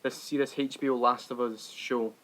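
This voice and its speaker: A man co-hosting a podcast in relaxed, informal conversation. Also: medium pitch, moderate pace. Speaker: podcast co-host. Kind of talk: casual podcast chat. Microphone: remote online call.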